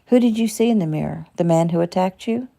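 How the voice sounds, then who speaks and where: A woman reading a calm, brisk male doctor. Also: on the low side, vocal fry. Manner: calm, probing.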